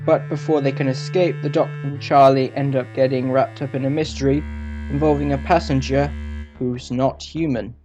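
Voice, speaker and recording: A male video host talks through a casual review. His voice is mellow and mid-pitched, and he speaks haltingly, correcting himself as he goes, over faint background music. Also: level tone. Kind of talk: casual audio drama review.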